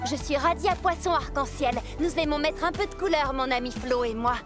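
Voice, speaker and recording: A woman voicing a sweet, anxious young mermaid girl in a French children's cartoon, with an expressive, girlish delivery. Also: high voice, a little fast, lightly acted. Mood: friendly, eager. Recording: loud music under the voice.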